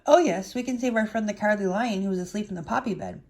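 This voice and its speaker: Lady as friendly helpful scarecrow in a kids storybook, mellow, earnest, talks you into things. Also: mid-range voice, fast, lightly acted. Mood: eager. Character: eager, helpful male scarecrow with straw-stuffed head.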